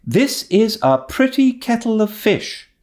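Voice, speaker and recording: A man voicing a stern, sharp-tongued older spinster, brisk and disapproving, in an audiobook story. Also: high voice, sing-song, pitched above the performer's own voice, exaggerated voice. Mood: wrathful. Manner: brisk, scolding.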